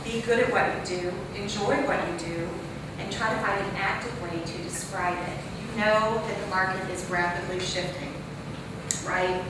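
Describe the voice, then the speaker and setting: A woman in her forties from Missouri giving a loud, chatty, animated talk at a conference. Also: mid-range voice, moderate pace. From Jefferson City, Missouri. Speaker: conference speaker. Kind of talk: motivational talk. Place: large echoey conference hall. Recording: echoey hall, distant mic, noisy.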